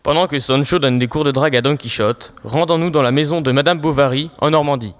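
A young man acts as the storyteller of a comic romance audio play. His scripted narration is on the high side for a male voice. It carries the reverb of a big hall. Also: fast, lightly acted. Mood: matter-of-fact. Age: teenager or young adult. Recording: echoey hall, live stage performance.

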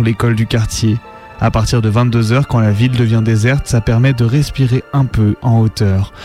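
A man's voice: fairly low pitch, dark tone. A man from the suburbs of Paris speaking on a radio show, presenting and narrating first-person audio fiction. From France, Paris suburbs.

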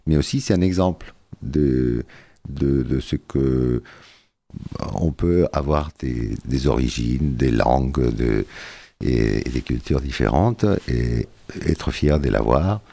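A man's voice: extremely low pitch, slow, creaky, dark tone. A man talking as a guest in a casual radio interview, hesitant and searching for words. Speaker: radio guest, project member. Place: radio studio.

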